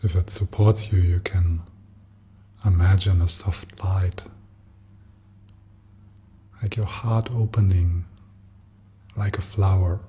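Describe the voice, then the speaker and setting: A man leading a guided meditation as a teacher, speaking gently and softly in an unhurried manner with long pauses. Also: deep voice, steady tone, a little hiss or hum.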